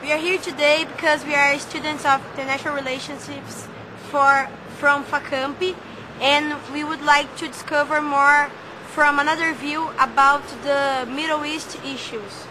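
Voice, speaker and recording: A woman's voice: sits high, steady tone, normal speed, background noise. A young woman student from Brazil, speaking frankly and carefully in an outdoor interview. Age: young adult. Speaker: university student. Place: outdoors by a garden of palms.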